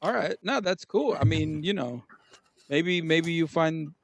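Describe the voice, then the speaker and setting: A man's voice: on the high side, unhurried, vocal fry. A man hosting a casual gaming podcast, teasing his guest and laughing often. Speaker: podcast host. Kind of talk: casual chat.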